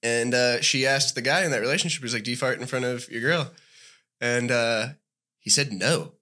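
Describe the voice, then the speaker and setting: A man's voice: mid-range voice, normal speed, bright, forceful tone. A man co-hosting a podcast, teasing and joking in casual chat. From Irish descent. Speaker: podcast co-host.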